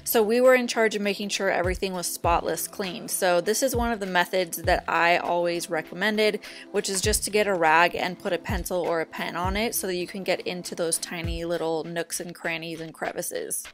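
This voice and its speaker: A young woman narrating her own home video in a chatty, relaxed voice-over, talking straight to her viewers. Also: fairly low pitch, normal speed, few pauses. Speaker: vlogger. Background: faint background music.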